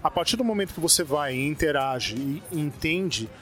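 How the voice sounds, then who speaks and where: Brief: Brazilian man, casual guest, thinks aloud with restarts, slightly high pitch, soft music behind. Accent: Brazilian Portuguese. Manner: relaxed, halting, thinking aloud. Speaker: interview guest. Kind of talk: casual conversation. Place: conference event venue.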